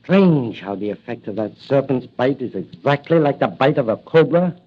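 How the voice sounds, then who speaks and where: A grave, scholarly professor in a 1940s radio serial. His medium-pitched voice moves expressively as he explains. The sound is a dull vintage broadcast. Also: animated, normal speed, husky. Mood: grave, explanatory. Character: learned, grave male professor explaining medical findings. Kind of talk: 1940s radio drama acting. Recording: muffled old radio recording.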